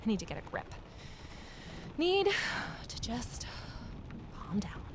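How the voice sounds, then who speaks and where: A woman's voice: fairly high pitch, wildly swooping pitch, slow, in a whisper, full character voice. An actress playing a guarded, lonely amateur sleuth who narrates her own mystery in an introspective audio drama style. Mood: uneasy.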